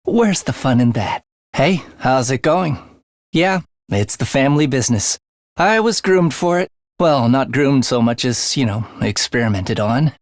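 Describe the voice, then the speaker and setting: A man's voice: mid-range voice, big pitch swings, a little slow, dry recording, studio quality. An actor playing a playful, morbid young male assassin in a video game, with breezy, teasing speech that turns theatrical. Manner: breezy, teasing slang turning breathy and theatrical. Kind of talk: English video game voice acting.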